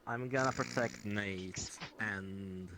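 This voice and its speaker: A man with a fairly low voice speaks in character in an online roleplay. His phrasing is formal and deliberate, and there is faint noise on the voice chat. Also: creaky, pushed and bright. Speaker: roleplayer playing a guild member. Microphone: online voice chat in a virtual world.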